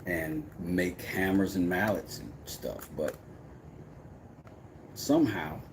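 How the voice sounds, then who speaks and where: A man with a deep, low voice talks casually on a live stream, mixing brash trash talk with loose rambling. His audio carries a clear layer of background noise from his home workshop. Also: slow. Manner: brash, trash-talking, then rambling and relaxed. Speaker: woodworking streamer.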